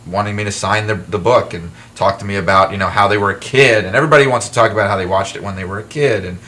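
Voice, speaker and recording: Bright-voiced man telling book stories. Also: lowish voice, fast. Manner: animated, self-deprecating storyteller with frequent restarts. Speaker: author and wrestling historian guest. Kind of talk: casual talk-show interview.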